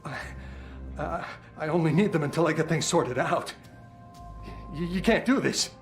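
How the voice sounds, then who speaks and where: A man's voice: fairly high pitch, moderate pace, creaky, faint music behind. A man voicing a bitter, demoted male police desk sergeant in a game, talking defensively. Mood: desperate. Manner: defensive, resentful.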